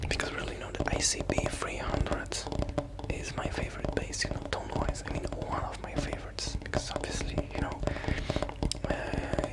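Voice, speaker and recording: A man playing a shy, friendly young musician in a soft ASMR roleplay, rambling casually and hesitantly in a breathy, often whispered voice. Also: close to an everyday voice, rough recording. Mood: quiet, confiding.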